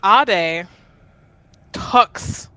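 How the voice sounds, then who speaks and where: A woman playing a tabletop roleplaying game, narrating her character in an expressive, informal way. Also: medium pitch, very slow, dry recording.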